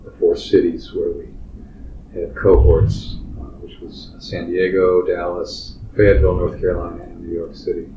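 A man in his sixties, a clinical social worker, answering interview questions in a steady, matter-of-fact way with many fillers. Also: deep voice, moderate pace. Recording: roomy, distant, muffled recording with faint background noise.

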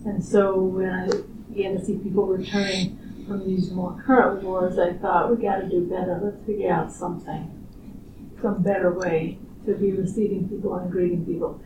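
A woman's voice: lowish voice, little pitch movement. Thoughtful older woman, distant roomy recording. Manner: measured, thoughtful, with frequent pauses and fillers. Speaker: researcher. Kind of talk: interview answers. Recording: roomy, distant, muffled recording with clear background noise.

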